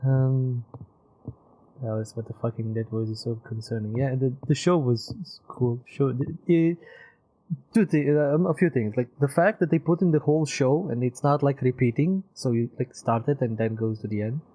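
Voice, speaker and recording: A man's voice: mid-range voice, normal speed, mellow. A man giving casual live gameplay commentary, rambling and thinking aloud. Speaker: game streamer. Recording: slightly dull streaming audio with music.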